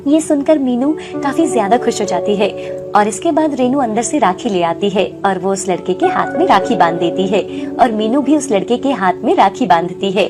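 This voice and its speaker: A woman's voice: medium pitch, lively intonation, moderate pace, music in the background. A woman narrating a scripted moral story.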